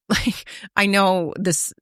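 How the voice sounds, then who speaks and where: A woman's voice: mid-range voice, fairly even intonation, very slow, a bit bright, smooth, no room sound, clean studio sound. A woman of about fifty talking candidly and casually as a podcast host, retelling things with quoted self-talk.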